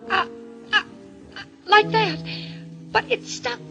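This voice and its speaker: A woman's voice: very high-pitched, takes it slowly, vocal fry, full character voice. A woman playing a fluffy, timid wife who recounts a nightmare in an old-time radio mystery, naive and dreamy. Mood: dreamy, frightened. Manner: naive chatter, dreamy first-person narration. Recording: muffled vintage radio recording with music.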